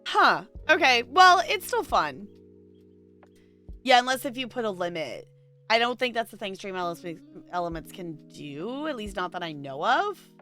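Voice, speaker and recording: Bright, lively woman streamer chatting. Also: mid-range voice, normal speed, soft background music. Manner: rambling, thinking aloud. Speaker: livestream host. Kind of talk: casual chat with live stream viewers.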